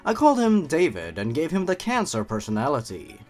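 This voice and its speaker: A man's voice: a little high, wildly swooping pitch, fairly quick, a little mellow. A young man narrating and commenting on an online horror story, expressively and with playful, wry asides. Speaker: YouTube host and narrator. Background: background music.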